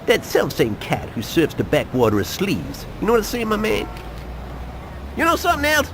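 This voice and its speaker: An actor playing a jittery streetwise informant in a video game, slangy and excitable, over noisy street ambience. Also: male voice, sits high, moderate pace, heavily acted. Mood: confiding warning. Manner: slangy, excitable, repeats verbal tags. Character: jittery, jive-talking streetwise male informant.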